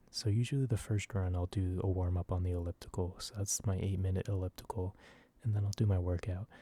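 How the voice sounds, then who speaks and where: Soft spoken guy doing an asmr ramble, really low n dark voice, breathy, kinda hesitant. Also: no echo. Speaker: video creator.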